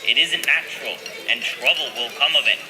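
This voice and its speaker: An actor playing a grumbling, suspicious hobbit miller in an audio play, carping and insinuating like a spiteful village gossip. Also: male voice, medium pitch, a little fast, music in the background, natural voice. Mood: disapproving. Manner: carping, insinuating, rustic phrasing. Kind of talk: dramatized audio play dialogue.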